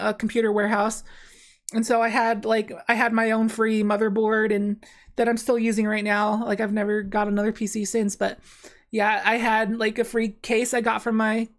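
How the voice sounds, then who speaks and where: A young woman talking casually while playing a card game on stream, rambling with many fillers and restarts, in a small dim room. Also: on the high side. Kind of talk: casual gameplay commentary.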